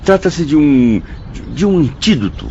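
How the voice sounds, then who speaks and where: A man's voice: fairly high pitch, big pitch swings, a little slow, warm tone. A Brazilian man acting in a radio drama as an astonished, reflective spirit newcomer, theatrical and pondering as he seeks to understand. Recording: dull lo-fi audio, faint noise.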